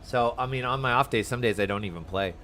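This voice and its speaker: A man's voice: mid-range voice, fast talker, bright, forceful tone, slight background noise. A man chatting casually while live streaming a game, giving loose commentary as he plays. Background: game music.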